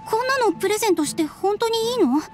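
Game role: shy, flustered young woman, very high hesitant voice over clear music. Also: lively intonation, quick pace. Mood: nervous. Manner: hesitant, stammering.

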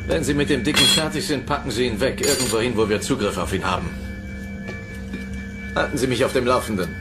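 A cold, condescending alien commander in a sci-fi radio play, acted by a man. His voice sits at a middle pitch, detached and superior, with loud music behind it. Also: brisk, close to an everyday voice. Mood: commanding disdain. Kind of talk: German sci-fi radio drama acting.

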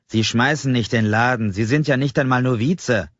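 A man's voice: mid-range voice, fairly quick, no room sound, dull audio, voice pushed up, lightly acted. A man voicing a short, stocky, pale middle-aged stranger who snaps at the listener with indignant contempt. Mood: scornful.

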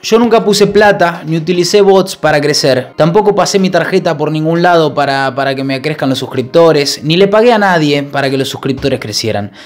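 A young man with a Rioplatense accent, a YouTuber talking in a halting, mock-solemn confession, holding a mic close in a small kitchen. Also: on the high side. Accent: Rioplatense Spanish. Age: young adult. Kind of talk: mock-serious confession vlog. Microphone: handheld mic held close.

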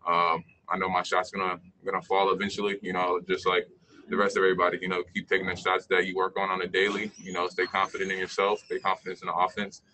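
A young man speaks from an indoor media room over a video call, answering questions in a deep, flat yet bright voice. He halts often, filling gaps with ums and you-knows. A faint hiss sits under the line. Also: poor audio. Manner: halting, many fillers and you-knows. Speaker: pro basketball player. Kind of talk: post-game press conference answers.

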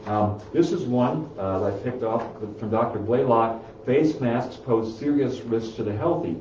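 A man's voice: medium pitch, a little slow, a little mellow, slight background noise. A middle-aged man hosting a health talk in a small office, speaking casually from printouts with many fillers and restarts. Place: small office room, indoors. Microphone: far camera. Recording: roomy, distant, dull sound.

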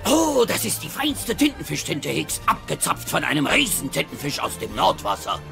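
Florid male merchant sailor, theatrical, flattering, creaky, audio drama, music behind. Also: on the high side, moderate pace, full character voice. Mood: selling proudly. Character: flowery, florid seafaring male trader.

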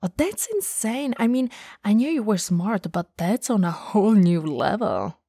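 A woman acting a friend's warm, teasing goth older sister in an intimate, soft-spoken ASMR roleplay, sometimes slipping toward a whisper. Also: mid-range voice, big pitch swings, measured pace, breathy edge, no echo, clean studio sound, heavily acted. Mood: friendly, impressed. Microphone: close studio microphone.

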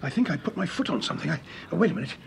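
Commanding police chief, British, brisk, animated intonation, radio play. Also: male voice, a little high, vocal fry, slight background noise. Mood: puzzled, intent. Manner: brisk, authoritative. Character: commanding senior Scotland Yard police chief. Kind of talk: mid-century British radio drama acting.

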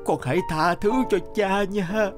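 A man's voice: high voice, fairly even intonation, unhurried. A man playing a remorseful old count and loving father in a cartoon fairy tale, speaking emotionally, with music behind him.

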